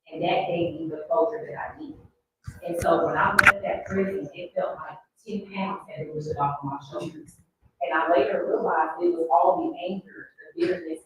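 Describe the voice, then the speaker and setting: Lively-pitched woman in a very echoey room. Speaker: panel guest. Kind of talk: personal testimony at live event. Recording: echoey room, distant mic, background noise.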